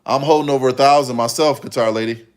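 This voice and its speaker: A man in his fifties talks casually to his livestream viewers. His voice sits at an average male pitch. He rambles along, circling back and repeating his phrases as he replies to the chat. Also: fairly even intonation, quick pace, smooth. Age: 50s. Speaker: livestream host.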